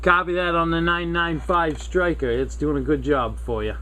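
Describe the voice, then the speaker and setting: A man's voice: on the high side, fairly even intonation, normal speed, heavy background noise. An American man chatting casually and courteously in radio jargon as a hobbyist on CB radio.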